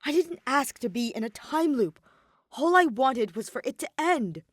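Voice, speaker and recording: A woman's voice: high voice, big pitch swings, normal speed, no room sound, studio quality, pitched above the performer's own voice. A woman voicing an anxious, bewildered young man with a hesitant, urgent, stammering delivery in a story reading. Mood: frustrated.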